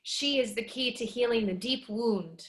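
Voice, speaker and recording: A woman's voice: a little high, a little slow, natural voice. A woman playing a solemn mutant fish heralding a new species in a staged reading. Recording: roomy, distant, clearly noisy audio.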